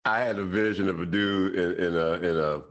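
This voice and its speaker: A man's voice: low-pitched, steady tone, brisk, lo-fi sound. A man co-hosting a sports radio show, talking easily with chuckles and preachy asides. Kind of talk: casual podcast banter.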